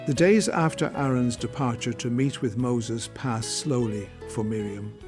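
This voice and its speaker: A man's voice: mid-range voice, a little slow. Male storytelling narrator over music.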